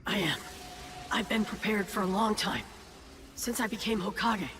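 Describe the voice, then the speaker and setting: A woman voicing a fiercely determined, brash male ninja village leader in an anime dub, forceful and resolute, with a raspy edge. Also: mid-range voice, moderate pace, in a large hall, natural voice.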